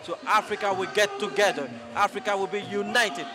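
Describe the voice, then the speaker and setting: Man, thirties, event organizer in interview, raised pitch, busy outdoor crowd. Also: steady tone, fairly quick, music in the background. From Côte d'Ivoire. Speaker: parade organizer. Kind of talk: interview answers. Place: outdoor park amphitheater. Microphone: handheld interview microphone. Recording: crowd noise behind.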